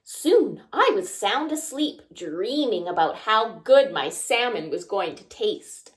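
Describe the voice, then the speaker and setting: A woman reading a children's picture book aloud expressively, pausing to ask young listeners simple questions. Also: mid-range voice, sing-song. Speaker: children's story time reader.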